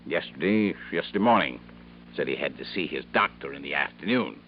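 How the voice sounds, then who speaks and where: A pompous stingy boss. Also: male voice, a bass voice, expressive, a little fast. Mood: indignant. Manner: curt, indignant, self-important. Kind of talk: 1950s radio drama acting. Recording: dull old radio broadcast with faint hiss.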